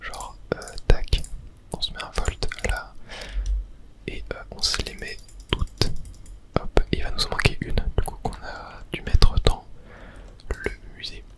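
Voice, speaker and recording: Hushed whisper, casual gaming ASMR. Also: slow, long pauses. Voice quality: whispering throughout. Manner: casual, thinking aloud. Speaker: gaming video host. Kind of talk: whispered ASMR game commentary.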